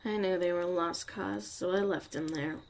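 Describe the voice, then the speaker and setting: Low-voiced jaded witch played by a woman, noisy recording. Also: fairly even intonation, normal speed, lightly acted. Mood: bitter, dismissive. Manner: sardonic, casual, swearing, dismissive. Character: jaded, blunt young witch mother surviving zombie apocalypse. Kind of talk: ASMR roleplay, soft intimate monologue.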